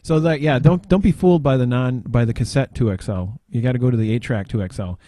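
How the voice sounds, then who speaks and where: A middle-aged man chatting casually on a podcast, explaining things in a rambling, halting way with trailing pauses. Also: mid-range voice, mellow, no room sound.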